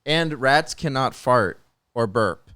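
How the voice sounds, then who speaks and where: A man's voice: mid-range voice, lively intonation, slow, sharp tone, clear, resonant tone, no echo. A man chatting informally on a podcast as a co-host, explaining things from his own experience. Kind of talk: casual chat.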